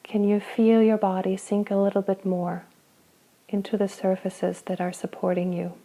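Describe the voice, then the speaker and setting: A woman guiding a body scan meditation, speaking slowly and gently with long pauses between phrases. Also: medium pitch, level tone, poor audio. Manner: slow, soft, gentle guidance with long pauses. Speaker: meditation guide.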